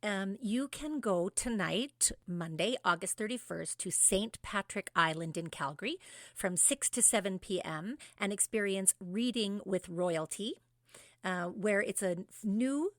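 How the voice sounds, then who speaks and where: A middle-aged woman with a bright, clear-cut voice at a medium pitch. She co-hosts a podcast, moving between banter and announcements, recorded very dry and close. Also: dry recording. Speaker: podcast co-host, professional improviser.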